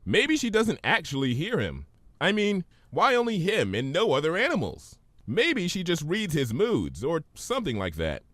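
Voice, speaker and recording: A man voicing a calm, bookish female pony princess in a storybook reading, measured and reassuring. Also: on the high side, no room sound, pitched above the performer's own voice, exaggerated voice. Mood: thoughtful. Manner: measured, explanatory.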